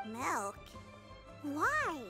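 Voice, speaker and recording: A woman's voice: sits high, huge pitch swings, extremely slow, creaky, music in the background, full character voice. A woman voicing a caring, patient and proud young granddaughter in a video game, with a childlike voice. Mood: puzzled. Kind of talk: video game character acting.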